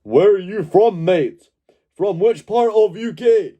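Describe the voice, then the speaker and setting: A man's voice: sits high, slow, dark tone. A man from Glasgow hosting a casual live stream, rambling, joking and swearing freely. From Glasgow, Scotland. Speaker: livestream host.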